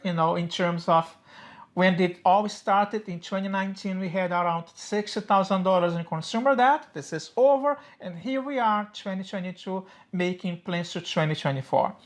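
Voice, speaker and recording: A woman with a Brazilian Portuguese accent talks to camera in a chatty, upbeat way, her English carrying small slips of a native Portuguese speaker. She sits at a middle pitch and explains plans casually as a co-host. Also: normal speed. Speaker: co-host of a personal finance channel.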